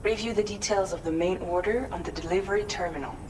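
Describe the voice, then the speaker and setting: An actress playing a composed, businesslike leader in a video game, briefing someone with assurance. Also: female voice, medium pitch, quick pace, far from the mic, noisy background, lightly acted. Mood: assured. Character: composed woman leader briefing her team member. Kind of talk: scripted game dialogue.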